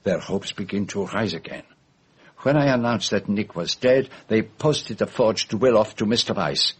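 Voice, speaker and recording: An actor playing a fussy, shrewd foreign gentleman detective, speaking in precise, formal phrases in a radio drama. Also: lowish voice, expressive, normal speed, husky. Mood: measured explanation. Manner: precise, theatrical, formal phrasing with French forms of address.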